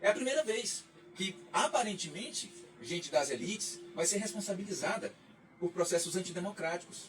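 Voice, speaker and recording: A man's voice: high-pitched, lively intonation, moderate pace. A man, a radio guest talking politics in a reflective, lecturing way with frequent restarts. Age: 40s. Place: radio studio. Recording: distant mic, faint music underneath.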